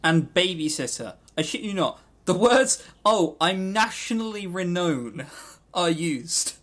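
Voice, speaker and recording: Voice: mid-range voice, expressive, slow pace, a bit bright. A podcast co-host chatting casually in a jokey, sarcastic and rambling way, prone to going off on tangents. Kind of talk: casual, tangent-prone banter about visual novels.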